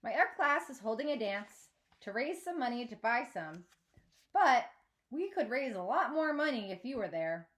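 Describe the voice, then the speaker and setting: A woman narrating a story aloud in a measured, expressive read-aloud style, like a teacher reading to pupils. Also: fairly high pitch.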